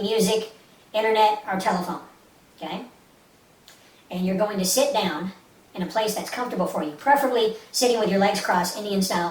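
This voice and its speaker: A woman leading a guided meditation as an instructor, speaking in a soothing, instructive way with short repeated phrases. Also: mid-range voice. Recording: roomy, distant mic with faint hiss.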